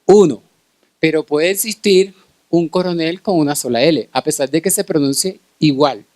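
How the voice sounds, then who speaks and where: A man's voice: a little high, moderate pace, darkish tone, dry recording. A middle-aged man with a Colombian Caribbean accent giving a medical conference lecture, often checking in with short tag questions. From Cartagena, Colombia. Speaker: pediatric infectious disease specialist giving a lecture.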